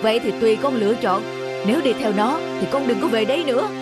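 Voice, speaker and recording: A woman's voice: a little high, lively intonation, fast talker, loud music behind the voice, lightly acted. A woman voicing a stern, domineering middle-aged father in a cartoon. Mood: angry. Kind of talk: cartoon dub acting.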